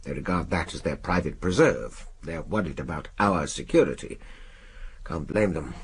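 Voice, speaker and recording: An English actor in a radio drama playing a brusque older spy chief, clipped, gruff and commanding. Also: male voice, rumbling low, moderate pace, heavily acted. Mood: brisk, confidential.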